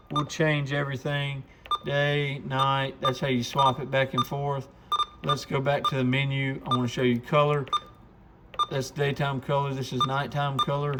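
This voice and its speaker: A man's voice: mid-range voice, heavy background noise. A man chatting in an informal, folksy way as he gives a casual product demonstration like a shop presenter.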